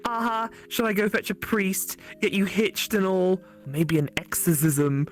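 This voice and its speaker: A woman voicing a teasing, skeptical female best friend with a sarcastic, animated delivery. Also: mid-range voice, a little slow, soft background music, close to an everyday voice.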